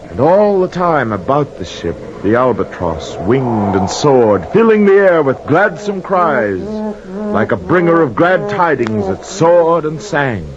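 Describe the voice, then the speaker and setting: A man's voice: on the high side, very animated, slow. An actor playing a guilt-ridden sailor telling his tale in solemn, archaic words in old-time radio drama style. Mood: awed, exultant. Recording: muffled, noisy vintage radio recording.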